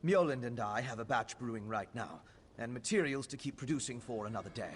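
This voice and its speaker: A man's voice: medium pitch, animated, fairly quick, slight background noise, natural voice. An actor playing an earnest, scholarly male alchemist mage in a video game, explaining things in a learned, formal way. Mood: cautious, earnest.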